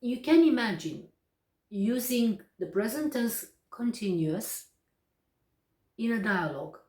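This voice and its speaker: A young woman from Romania with a Romanian accent, a native Romanian speaker, teaching English grammar in a careful, explanatory way with many pauses. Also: on the high side, expressive, darkish tone. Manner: slow and deliberate, with frequent pauses and hesitations. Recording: echoey room, distant mic.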